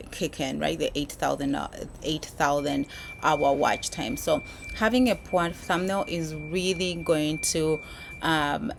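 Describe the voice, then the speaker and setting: A woman's voice: low-pitched, creaky, a little hiss or hum. A woman from Uganda, a YouTube creator, talking casually to camera with many restarts and fillers. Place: filming while traveling abroad.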